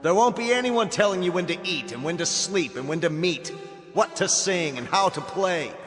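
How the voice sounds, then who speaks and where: An actor playing a firm, urgent military colonel in a science-fiction radio drama. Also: male voice, sits high, expressive, normal speed, a bit bright. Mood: impassioned. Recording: long hall-like reverb, music underneath.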